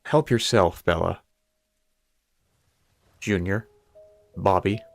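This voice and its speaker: A man's voice: low voice, slow pace, vocal fry, soft background music, exaggerated voice. A man playing a wry, unruffled supernatural cop in a horror audio story, speaking in a dry, casual manner. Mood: wry, firm.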